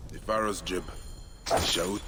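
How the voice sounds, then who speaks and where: A man playing a grave, determined investigator of ancient Egyptian tombs in a video game, deliberate and brooding. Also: low-pitched, steady tone, background noise. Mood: solemn resolve.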